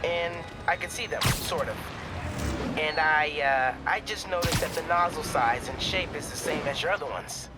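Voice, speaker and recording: Man acting a high-voiced, eager teenage boy. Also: moderate pace, natural voice. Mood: eager, sheepish. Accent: American. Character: eager, bright teenage boy science whiz, superhero trainee. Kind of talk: video game cutscene acting. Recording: loud music behind the voice.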